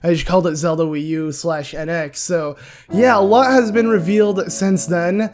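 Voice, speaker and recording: A young man hosting a casual gaming discussion vlog. He is sick and his voice is nearly gone, so it comes out hoarse and raspy. He rambles, with many fillers and restarts. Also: high voice, fairly even intonation, moderate pace, a little mellow. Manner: rambling, with frequent "uh" and self-corrections. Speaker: video game channel host.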